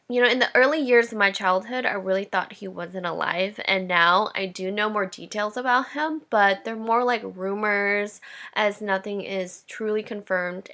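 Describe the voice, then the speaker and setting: Bright-voiced young woman telling her story. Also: medium pitch. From Indonesia. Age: 20s. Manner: candid and reflective, with light laughs. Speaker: podcast host. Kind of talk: personal storytelling podcast.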